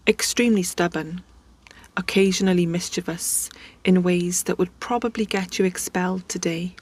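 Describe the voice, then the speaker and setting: A woman tells a story as if chatting with a friend. She talks casually and drops in conversational asides. Her voice sits at an ordinary middle pitch. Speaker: host.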